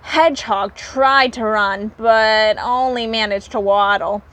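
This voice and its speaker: Very slow, high-voiced woman reading a storybook. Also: steady tone, fairly bright tone. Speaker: children's story reader. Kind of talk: animated storybook narration.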